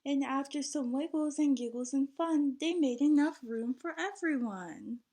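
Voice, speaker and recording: A woman reading a picture book aloud to young children in an expressive, teacherly way, pausing to put questions to them. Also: high voice.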